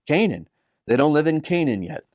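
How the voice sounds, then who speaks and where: An eager, curious boy read by a man for a storybook pipes up with quick questions. The pitch lifts a little above an average male voice, in a dry recording. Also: big pitch swings, darkish tone, no echo.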